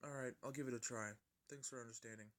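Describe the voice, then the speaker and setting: A man's voice: medium pitch, fairly even intonation, quick pace, natural voice. A man playing a troubled young male hero with a casual, reserved manner in a story reading.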